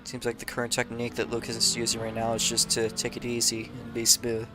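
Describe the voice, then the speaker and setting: A man calmly commentating a sim race, calling driver positions and penalties with frequent fillers. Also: mid-range voice, fast talker, over music. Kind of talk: live sim racing commentary.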